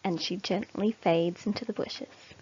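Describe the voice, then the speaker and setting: Slow-reading woman telling a children's story. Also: mid-range voice, steady tone, warm tone, lo-fi sound, slight background noise. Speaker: storybook reader. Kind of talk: expressive children's story narration.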